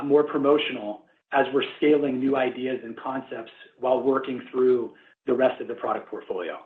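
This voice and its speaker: A man answers questions on a corporate earnings call in careful, measured business language. His mid-pitched voice comes through a thin phone line with a noticeably roomy echo. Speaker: company finance executive.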